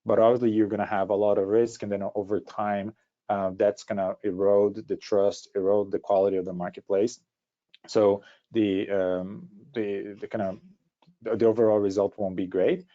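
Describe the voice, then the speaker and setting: A man with a Brazilian accent presenting an informal webinar in a halting way, often repeating words as he goes. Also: lowish voice, little pitch movement. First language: Portuguese. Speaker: startup co-founder giving a presentation. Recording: dull, lo-fi online call audio.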